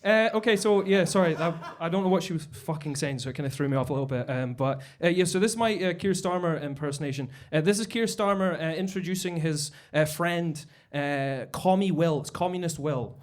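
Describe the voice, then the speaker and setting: A man headlining a live stand-up set, with a halting delivery full of fillers and stumbles. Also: fairly high pitch. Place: basement comedy club stage.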